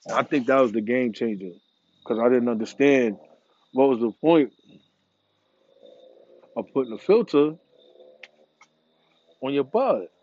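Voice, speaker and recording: A man's voice: medium pitch, lively intonation, unhurried, long pauses, darkish tone, muffled recording. A man in a casual, rambling monologue, laid-back and profane, full of slang. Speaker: vlogger. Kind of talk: casual monologue about smoking.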